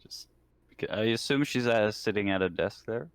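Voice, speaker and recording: A man's voice: fairly low pitch, lively intonation, normal speed, clean tone, no room sound. A man playing a tabletop roleplaying game, casually describing what his character does.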